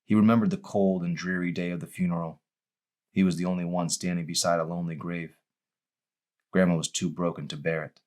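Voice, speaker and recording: A man's voice: deep voice, flat delivery, fairly quick, darkish tone. A man reading his own novel aloud as a dramatic audiobook narration.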